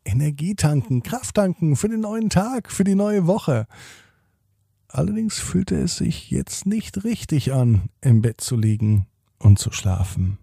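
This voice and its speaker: A man hosting a children's podcast, gently reading a bedtime story in a calm, soothing way. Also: medium pitch, creaky, slightly rough, no echo, clean studio sound.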